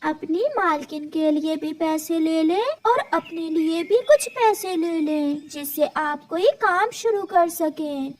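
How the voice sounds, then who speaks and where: A woman's voice: very high voice, takes it slowly, few pauses. A woman voicing a kindly, wise magical cactus tree in a children's cartoon.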